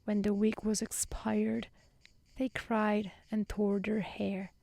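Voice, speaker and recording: Woman storyteller, soft-spoken ASMR, breathy near-whisper, mellow, dry close sound, gentle. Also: medium pitch, completely flat delivery, slow, no echo.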